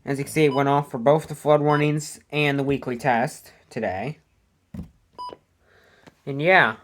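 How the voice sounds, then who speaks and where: A casual American voice with a mid-range pitch presents a hobby collection. The delivery is informal and rambling, with a plain, matter-of-fact tone, as in a homemade showcase video. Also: steady tone, a little slow, slight background noise. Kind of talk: casual product showcase vlog. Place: indoor room at home.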